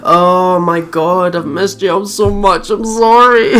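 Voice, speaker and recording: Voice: mid-range voice, flat delivery, takes it slowly, a bit bright. A giggly, chatty let's play host, talking casually and reading game text aloud. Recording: music behind the voice. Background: plucked guitar game music.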